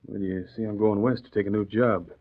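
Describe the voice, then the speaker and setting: A man plays a hesitant, casual working man in a vintage radio play. His voice sits a little low and sounds husky. The recording is somewhat dull. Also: steady tone, a little fast, natural voice. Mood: hesitant, agreeable. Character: uneasy, casual working man claiming a reward. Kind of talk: 1940s radio acting.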